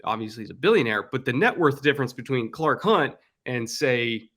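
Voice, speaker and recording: Male guest analyst, mid-pitched, confident and opinionated, chatty delivery. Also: lively intonation, brisk, a bit bright. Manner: confident, opinionated, explains at length. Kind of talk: casual sports analysis chat.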